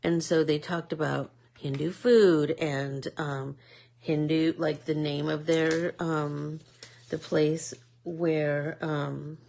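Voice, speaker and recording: A woman with a Midwest accent talks slowly and in a low voice while hosting an art class. Her voice has a gravelly fry. She rambles through her thoughts with plenty of fillers. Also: steady tone, darkish tone. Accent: American Midwest. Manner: chatty, rambling, many fillers. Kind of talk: casual chat while painting.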